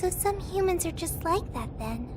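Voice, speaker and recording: A woman's voice: very high voice, lively intonation, normal speed, noisy background. A woman voicing an innocent, curious girl who questions politely and earnestly in an anime-style video game. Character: earnest, naive, curious girl who ponders human feelings. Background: music.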